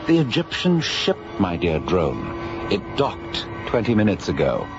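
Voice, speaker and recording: A man's voice: low-pitched, wildly swooping pitch, measured pace, exaggerated voice. A man playing a shrewd Sherlock Holmes-like space detective in a radio drama, wry and elaborately polite. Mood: teasing, amused. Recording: dull radio recording with music underneath.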